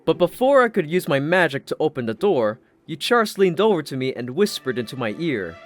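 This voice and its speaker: A man's voice: fairly high pitch, big pitch swings, fairly quick. A man reading a scripted first-person story as an audiobook narrator. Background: background music.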